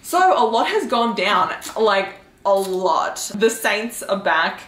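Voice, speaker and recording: A woman's voice: medium pitch, animated, slow pace, a bit bright. Young woman chatting casually, echoey room. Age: young adult. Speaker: book vlogger. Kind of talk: casual book discussion chat.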